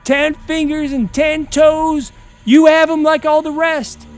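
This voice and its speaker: A man voicing a psychotic male captor with a butcher knife, speaking slowly and menacingly, over faint background music. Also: very high voice, flat delivery, voice pushed up. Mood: preachy, unhinged. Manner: slow, menacing, preachy.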